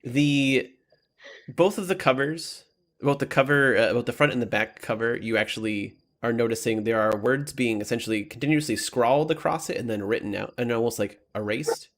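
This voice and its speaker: A man narrating a tabletop game as game master, casual and halting, often correcting himself as he describes things. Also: medium pitch.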